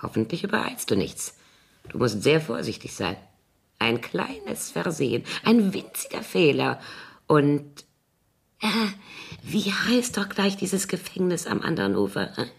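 A woman's voice: low-pitched, big pitch swings, unhurried, vocal fry, slightly rough, heavily acted. An actress playing a sly, mocking older woman in a German radio crime drama, with a sardonic, theatrical drawl. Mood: sly warning.

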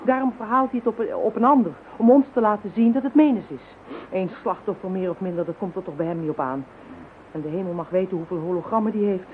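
An actress playing a brisk young female police inspector in a radio drama. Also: medium pitch, phone-quality sound, a little hiss or hum, lightly acted. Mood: tense.

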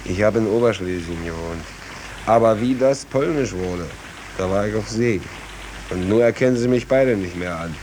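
A male actor plays a weary, plain-talking stateless seaman in forties radio drama style. His voice is fairly low and colloquial, resigned to his lot. The vintage recording has slight noise. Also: normal speed, a little mellow, close to an everyday voice, low-quality recording. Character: world-weary, wry stateless stoker's mate. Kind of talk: 1940s German radio drama acting.

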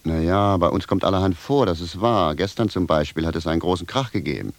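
An actor playing a simple, bewildered and stubborn village cobbler in a radio drama, speaking bluntly and with a sense of grievance. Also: male voice, deep voice, brisk, no echo. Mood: baffled, grumpy. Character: plain-spoken, bewildered, stubborn village cobbler, grumbling indignantly. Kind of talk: theatrical radio drama dialogue.

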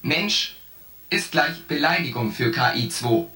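A stubborn shipboard artificial intelligence, speaks slowly and with cold formality. The phrasing is stilted and pedantic, as in a science fiction radio play. The voice echoes from a distance over music. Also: male voice, on the high side, expressive, sharp tone. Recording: echoing, distant, music behind.

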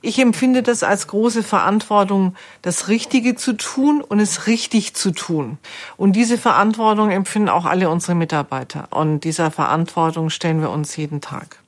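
A woman speaking as a foundation executive in a considered interview, earnest, measured and articulate. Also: mid-range voice.

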